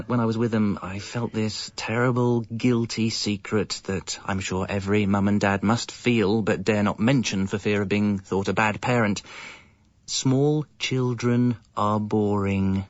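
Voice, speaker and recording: A man's voice: on the low side, steady tone, a little slow, no echo, close to an everyday voice. Male actor as english dad, fed up and evasive, wry confessional radio comedy narration, bit muffled. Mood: confessional, exasperated. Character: discontented, evasive young father and jingle writer. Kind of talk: scripted first-person comic narration.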